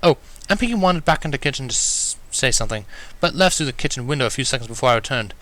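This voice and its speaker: A man's voice: medium pitch, expressive, very fast, fairly bright tone, voice pushed up, close to an everyday voice. A man voicing a refined, dignified female cartoon pony with a proper, polite manner in a fan fiction reading. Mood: composed, gracious.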